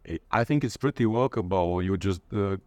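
Low-voiced Latvian man, hesitant. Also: moderate pace, darkish tone, no room sound. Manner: hesitant, searching for words with repeated starts. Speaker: interviewee, literature lecturer. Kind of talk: conversational interview.

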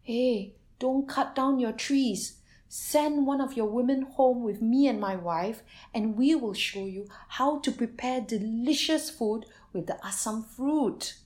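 A woman voicing a horrified village man who shouts in alarm and then urgently pleads. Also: unhurried, close to an everyday voice. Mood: urgent, persuasive. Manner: shouted exclamations, high and strained.

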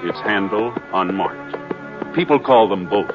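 A man's voice: on the low side, animated, slow. A man working as a network radio announcer, reading a script in a formal, polished 1950s style. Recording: muffled 1950s radio with music behind.

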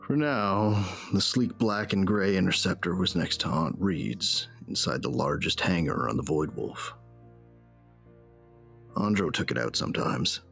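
A man's voice: low voice, unhurried, gravelly, a bit bright, faint music behind, full character voice. An actor playing a grieving, hard-drinking bounty hunter who narrates his story in a subdued, weary, reflective way in an audio drama. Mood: wistful. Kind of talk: first-person audio drama narration and dialogue.

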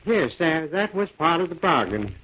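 A nervous, apologetic farmer from a vintage radio play. He speaks haltingly in a slightly high voice. The sound is dull and aged. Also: very animated. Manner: hesitant, apologetic. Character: nervous, meek male tenant farmer. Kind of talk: 1940s radio acting. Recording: muffled old radio recording.

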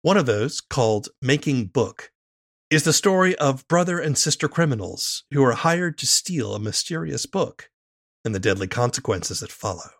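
A man's voice: medium pitch, animated, moderate pace. A man hosting a podcast in a scripted, presenting style as he introduces and interviews. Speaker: podcast host.

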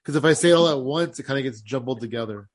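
A man's voice: mid-range voice, rapid-fire. Man with a slight lisp, easygoing host. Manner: relaxed, says he slurs with a slight lisp. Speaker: podcast host and comedian. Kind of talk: casual interview chat.

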